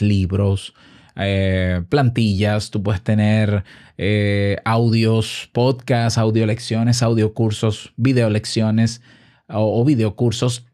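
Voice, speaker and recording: A man's voice: on the low side, warm tone. A man with a Dominican accent giving an informal explainer as an online host, teaching by asking questions and answering them himself. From Dominican Republic. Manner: didactic, asks and answers own questions. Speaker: online business content creator and host.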